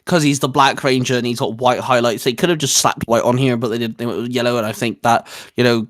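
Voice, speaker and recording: A man in his twenties with a medium-pitched voice, recorded dry. He co-hosts a casual geeky discussion and explains his opinions in an enthusiastic, rambling way. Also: steady tone, fast talker, fairly bright tone, no room sound. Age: 20s. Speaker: co-host of a fan discussion show. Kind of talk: casual geeky review chat.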